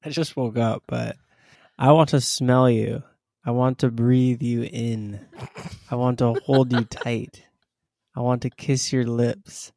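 A man reading a text message aloud as a guest, with a deliberate delivery. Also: mid-range voice, fairly even intonation, creaky, mellow, dry recording, studio quality. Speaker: guest reader.

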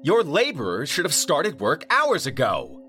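A man voicing an irate, wealthy male winery owner who blusters and booms his complaints. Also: big pitch swings, a little slow, fairly bright tone, soft background music, full character voice. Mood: angry. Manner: angry, blustering, booming.

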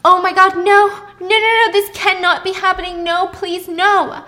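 Woman acting frantic, high-pitched young girlfriend. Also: level tone, moderate pace, bright, forceful tone. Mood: desperate, pleading. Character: impulsive, defensive, pleading young girlfriend who snoops.

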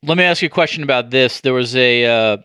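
A man hosting a podcast interview, talking conversationally and probing as he works through halting, mid-sentence restarts. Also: medium pitch, sharp tone, clear, resonant tone, no echo, clean studio sound. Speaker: podcast host, sports journalist.

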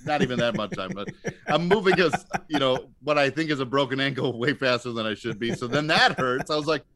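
A man speaking as a podcast guest, telling stories casually in a halting, wryly self-mocking way. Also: medium pitch, a bit bright. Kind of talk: casual anecdotal storytelling.

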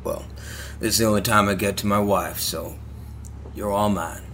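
A supportive husband in an audio drama. His voice is very low and laid-back, with gentle teasing. A slight noise runs behind it. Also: fairly even intonation, normal speed. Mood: fond, teasing.

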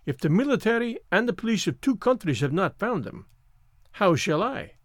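A man voicing a fastidious, self-assured little foreign detective in an audiobook, with a French accent and deliberate, theatrical phrasing. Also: high voice, very animated, a bit bright, no room sound, studio quality, pitched above the performer's own voice, heavily acted. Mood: doubtful.

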